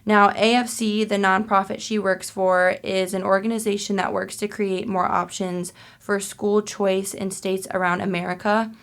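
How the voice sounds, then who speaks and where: A young woman hosting a podcast, reading a scripted introduction in an even way. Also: mid-range voice, normal speed, few pauses. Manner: even, read-aloud delivery. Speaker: podcast host.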